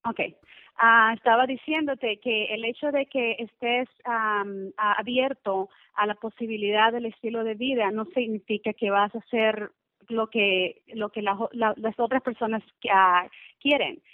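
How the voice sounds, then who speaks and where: Slow-talking woman on a muffled call. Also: a little high, steady tone, dry recording. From Venezuela. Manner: hesitant word-searching, slips into English. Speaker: podcast guest. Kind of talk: casual interview chat. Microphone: remote call. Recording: muffled narrow-band call, dry.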